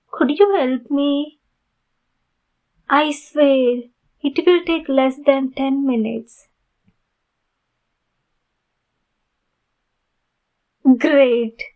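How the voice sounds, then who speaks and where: Woman acting a high-voiced, slow-speaking polite vampire lady. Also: phone-quality sound. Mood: courteous, hesitant. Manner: formal, courteous, deliberate phrasing with many pauses. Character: polite, pleading female vampire, ancient but youthful-looking. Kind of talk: ASMR roleplay addressed to the listener.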